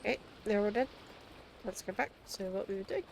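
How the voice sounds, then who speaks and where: A woman's voice: medium pitch, fairly quick, gravelly, noisy background. A woman streaming a video game, making casual live commentary. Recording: game sound and music behind the voice.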